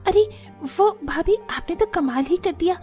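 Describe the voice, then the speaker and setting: A woman's voice: very high voice, lively intonation, moderate pace, darkish tone, soft background music. A woman voicing cheeky, lazy young sisters-in-law in a cartoon story, chirpy and wheedling. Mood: cheeky, demanding. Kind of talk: Hindi cartoon story acting.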